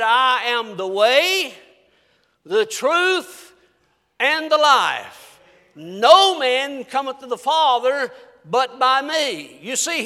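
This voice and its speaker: High-pitched man preaching in a reverberant hall. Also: sharp tone. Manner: emphatic, exhortatory, with drawn-out key phrases and rhetorical questions. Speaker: preacher, pastor. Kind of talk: church sermon. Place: large echoing church.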